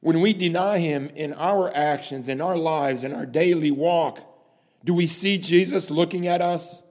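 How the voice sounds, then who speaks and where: A man preaching a sermon, dramatic, swinging from hushed lines to loud emphasis and asking rhetorical questions. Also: a little high, unhurried. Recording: long hall reverb.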